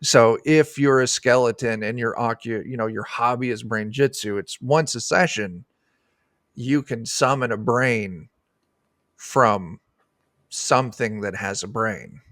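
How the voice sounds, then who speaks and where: A middle-aged man talks in an informal, rambling and enthusiastic way. His voice has an ordinary male pitch and is recorded dry, as on an indoor video call. He sounds like a designer explaining his game. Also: a little slow, fairly bright tone, no echo. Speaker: game designer, podcast guest. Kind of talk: casual explanatory chat about a tabletop game.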